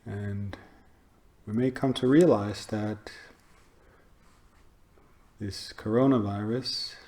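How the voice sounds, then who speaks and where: A man guiding a meditation, speaking in short phrases with pauses between them. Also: on the low side, fairly even intonation, darkish tone. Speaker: meditation teacher. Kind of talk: guided meditation talk.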